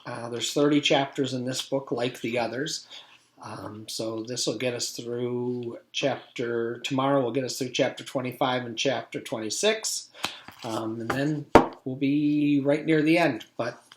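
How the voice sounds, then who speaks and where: A man's voice: mid-range voice, fairly even intonation, unhurried, a little hiss or hum. A man reading a children's storybook aloud in the manner of a teacher with a class. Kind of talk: storybook read-aloud narration. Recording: echoey room.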